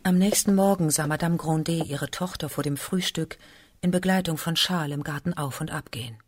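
A woman's voice: low voice, brisk, a little hiss or hum. A woman narrating a literary radio drama as a storyteller.

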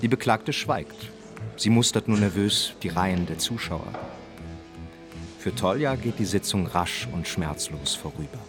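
A man's voice: sits low, lively intonation, a little slow. A man narrating a German radio drama in a sober, laconic storytelling style. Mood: matter-of-fact. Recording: music under the narration.

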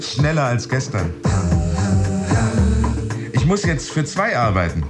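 A man's voice: mid-range voice, big pitch swings, slow pace. A man playing an anxious, secretive unemployed husband figure in a radio drama, confiding his thoughts in a hesitant, brooding monologue. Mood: resigned, brooding. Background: music under the voice.

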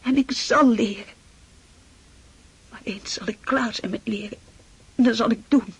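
An actress playing an earnest, idealistic young boy in a radio drama, breathy and fervent. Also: on the high side, unhurried, full character voice. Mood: fervent, resolute.

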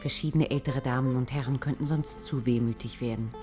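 Low-voiced woman narrating a radio play. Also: steady tone, a little fast. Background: music.

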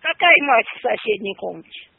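A woman's voice: a little high, lively intonation, fairly quick, vocal fry, slightly rough, no room sound. An older woman on the phone, scolding and swearing indignantly at a prank caller. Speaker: prank call target. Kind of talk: phone argument. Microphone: telephone. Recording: tinny phone line.

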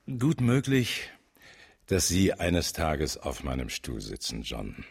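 An actor playing a shrewd older police chief in a German radio drama, speaking with blunt, measured authority. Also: male voice, rumbling low, wildly swooping pitch, gravelly, husky, full character voice. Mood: calm authority.

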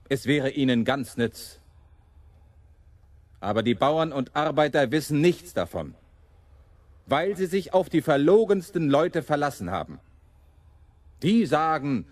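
Radio-play rebel preacher: impassioned champion of the poor, higher-pitched, very animated, oratorical. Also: male voice, no echo. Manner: oratorical, mocking the powerful. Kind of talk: German radio play monologue.

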